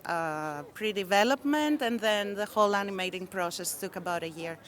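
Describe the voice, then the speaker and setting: A woman's voice: mid-range voice, normal speed, a bit bright. A young woman from Greece, an animation filmmaker, answering interview questions in an earnest way. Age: young adult.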